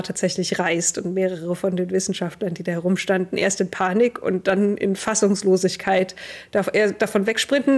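A woman's voice: medium pitch, darkish tone. A young woman runs a tabletop role-playing game as game master. She has a mid-range voice and narrates scenes to her players over an online call. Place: indoors, online video call.

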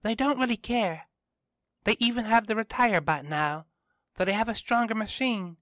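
Man voicing an excitable, high-pitched teenage girl. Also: normal speed, dry recording, clean studio sound. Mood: indignant, excited. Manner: emphatic, exclaiming.

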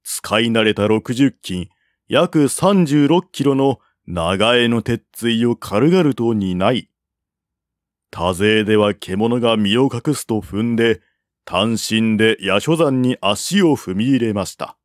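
A man reading a historical tale as a narrator, in a measured, formal storytelling manner. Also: on the low side, dry recording, clean studio sound. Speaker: narrator, audiobook-style reader. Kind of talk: scripted narration of a historical Chinese novel.

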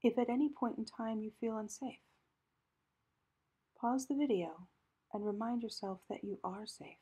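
Female meditation guide, very breathy and soft, mellow tone, calm measured delivery with pauses. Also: fairly high pitch.

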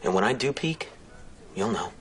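A man playing a vain, menacing narcissist in a sitcom, with a creepy voice, grandiose and deliberately dramatic in delivery. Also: on the low side, lively intonation, slight background noise, close to an everyday voice. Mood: boastful. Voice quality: creepy voice, slipping into breathy whispers. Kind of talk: sitcom monologue acting.

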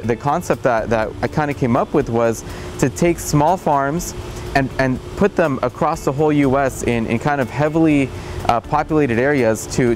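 Young male founder, earnest, halting delivery, lapel mic, music underneath. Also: mid-range voice, a little mellow. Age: young adult. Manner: earnest, frequent fillers and restarts. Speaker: farm company founder. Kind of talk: interview. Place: outdoors in a greenhouse.

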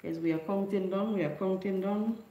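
A woman's voice: mid-range voice, moderate pace, dark tone, highs cut off. Grenadian lady chatting on her livestream from home, desk mic, kinda echoey room. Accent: Grenadian Creole English. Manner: chatty, retelling a folk story, reading viewer comments. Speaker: livestream host. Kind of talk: casual live chat with viewers. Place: home living room with neon lights. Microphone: desk microphone on stand.

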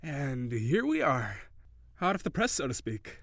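A man playing a cheery, shy, flirty kitsune barista in an intimate audio roleplay, speaking hesitantly and giggly, with gentle encouragement. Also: mid-range voice, sing-song, measured pace, creaky, no echo, full character voice. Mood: cheerful, flirty.

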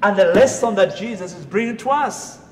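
A middle-aged man with a Kenyan accent, preaching a sermon in a church, emphatic and rising loud on key phrases. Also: high-pitched, fairly quick, slight background noise. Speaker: pastor. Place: church sanctuary, indoors. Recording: echoey room reverb.

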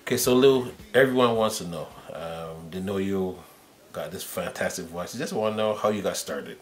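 A man, conducting a casual, conversational interview. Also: moderate pace. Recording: roomy sound with faint rumble.

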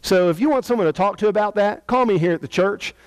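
High-pitched American pastor, dry sound. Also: male voice, fast talker, clear, resonant tone, no room sound. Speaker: senior pastor. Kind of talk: televised church invitation to viewers. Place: indoor church sanctuary.

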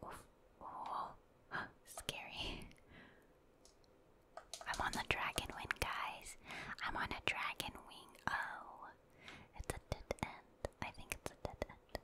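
A woman whispers throughout, in a soft, breathy hush with no full voice. She is a gaming streamer giving ASMR playthrough commentary, musing aloud in an unhurried, informal way.

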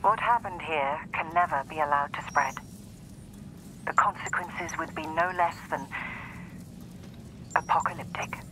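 An actor playing a regretful male scientist narrating his own recorded game logs in a grave, measured way. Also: fairly high pitch, pushed and bright, a little hiss or hum, poor audio. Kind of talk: video game voice acting.

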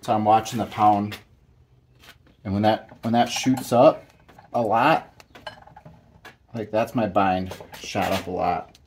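A middle-aged man with a fairly low voice talks through a hands-on mechanical job. He speaks casually and haltingly, thinking aloud as he goes. There is faint noise from the workshop around him. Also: fairly even intonation, darkish tone. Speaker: hobbyist mechanic demonstrating a repair. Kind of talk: casual hands-on how-to narration. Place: home garage workshop, indoors.

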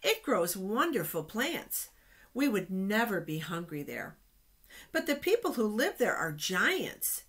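A woman's voice: medium pitch, sing-song, slow pace, a bit bright, husky, exaggerated voice. Woman reading as fearful, doubting scouts. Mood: awed, defeated. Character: fearful, doubting ancient scouts reporting back.

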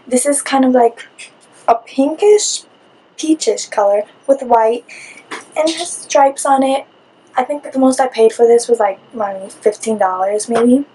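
A young woman with a slightly high voice, raspy because she is sick, talking straight to the camera. She chats loosely and rambles, filling the gaps with "like". A faint hiss of room noise sits under her voice from the camera mic in a small curtained room. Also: darkish tone, poor audio. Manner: chatty, rambling, with many "like" fillers. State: raspy from a cold. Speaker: beauty vlogger. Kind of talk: casual haul video chat.

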